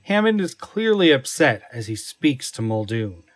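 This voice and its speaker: A man's voice: mid-range voice, very animated, moderate pace. A man reading scripted scene narration for an audio drama.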